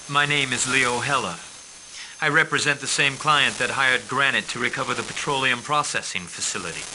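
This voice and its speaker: An actor playing a calm, formal male mercenary liaison who briefs a commander on missions in a video game. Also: mid-range voice, a little hiss or hum, rough recording. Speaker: mission handler.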